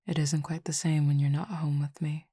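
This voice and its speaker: A woman voicing a composed, formal supernatural cupid roommate who speaks in precise, measured words and teases gently. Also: sits low, little pitch movement, slightly rough, no echo, studio quality. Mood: calm. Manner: precise, formal wording, measured and unhurried, soft asides. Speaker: voice actor in an audio roleplay. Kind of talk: audio roleplay addressed to the listener.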